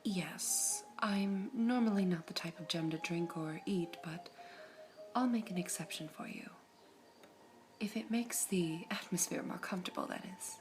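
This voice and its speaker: A woman voicing a serene all-seeing female gem in an ASMR roleplay, whispering softly in a measured, formal way. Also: fairly low pitch, faint music behind, close to an everyday voice. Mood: calm whispering.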